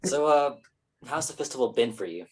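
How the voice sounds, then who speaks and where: A man playing a playful, mouthy stoner actor with a husky voice in a staged play reading. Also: mid-range voice, lively intonation, brisk, lightly acted, poor audio. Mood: friendly.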